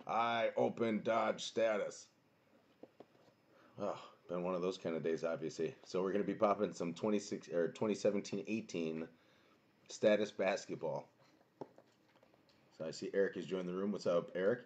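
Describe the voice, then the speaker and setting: A young man with a booming voice streaming a card box break from a small home room, chatting casually and greeting viewers by name. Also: normal speed, long pauses. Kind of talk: casual live-stream chat.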